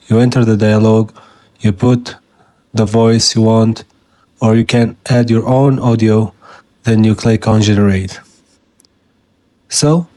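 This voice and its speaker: A man whose first language is Arabic, hosting a software tutorial and calmly explaining each step as he clicks through it. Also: medium pitch, dry recording. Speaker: tutorial host. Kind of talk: screen-recorded software tutorial.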